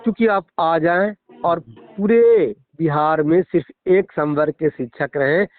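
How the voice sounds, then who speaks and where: A man's voice: on the high side, measured pace, dry recording. A middle-aged man with a Bihari accent, a schoolteacher arguing his position emphatically and formally in a phone interview. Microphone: phone call. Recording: narrow, tinny phone line.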